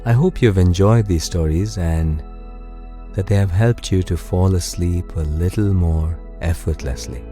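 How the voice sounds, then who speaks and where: A man narrating a guided sleep meditation and bedtime story in a soothing, gentle, unhurried way, close on the microphone. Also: low voice. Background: soft music.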